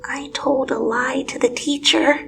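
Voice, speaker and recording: A woman voicing an excitable, chatty, insistent second-grade girl in a dramatic story reading. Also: sits high, unhurried, creaky, slight background noise, pitched above the performer's own voice, exaggerated voice. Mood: confiding. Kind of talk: dramatic fan fiction reading. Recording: music under the voice.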